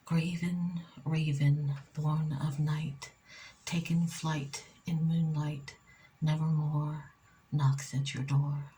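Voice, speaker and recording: A soft-spoken woman reading poetry in ASMR style, often whispering, with slow, deliberate phrasing and pauses between short phrases. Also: low voice, little pitch movement, a little hiss or hum, poor audio. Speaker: poet reading own work.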